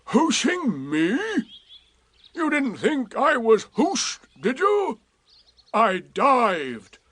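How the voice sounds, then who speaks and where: A man voicing Eeyore, a gloomy old grey donkey who talks in a slow, sarcastic drawl in a storybook reading. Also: high voice, animated, a bit bright, pitched above the performer's own voice, heavily acted. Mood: glum.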